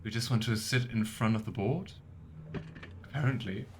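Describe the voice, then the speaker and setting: A man's voice: fairly low pitch, normal speed, background noise. Chatty man commenting on a game. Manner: chatty, curious, thinks aloud. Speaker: let's play streamer. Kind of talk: casual gameplay commentary.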